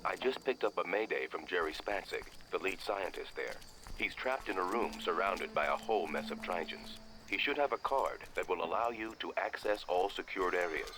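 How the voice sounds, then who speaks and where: An actor playing a calm, secretive undercover contact who gives businesslike mission instructions in a video game. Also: male voice, low-pitched, moderate pace, low-quality recording. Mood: explanatory. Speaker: mission guide. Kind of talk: video game cutscene acting.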